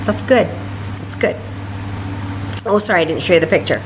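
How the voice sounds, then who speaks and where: A woman reading a story aloud to children in an expressive way, with chatty asides and questions. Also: on the low side, moderate pace, background noise. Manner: expressive, asks listeners questions. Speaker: library story time reader.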